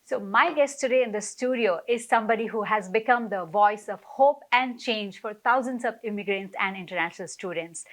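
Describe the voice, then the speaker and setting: A woman hosting a studio talk show interview, speaking in a measured, formal way with frequent fillers. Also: on the high side, a bit bright, poor audio. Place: television studio.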